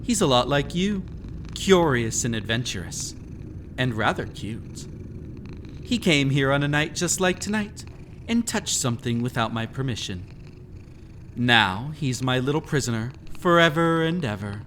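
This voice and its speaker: A man playing a mysterious, gleefully sinister keeper of a haunted house in an audio roleplay, speaking to the listener with teasing, drawn-out, theatrical phrasing. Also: mid-range voice, lively intonation, a bit bright, a little hiss or hum, poor audio. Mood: playful cooing. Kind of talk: scripted immersive audio roleplay, storytelling.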